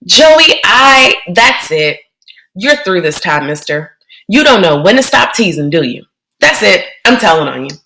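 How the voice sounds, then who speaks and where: A woman's voice: mid-range voice, sing-song, a little slow, sharp tone, a little hiss or hum, full character voice, low-quality recording. A woman voicing a bossy young girl who whines and threatens to tattle. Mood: upset. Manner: whiny, threatening to tell.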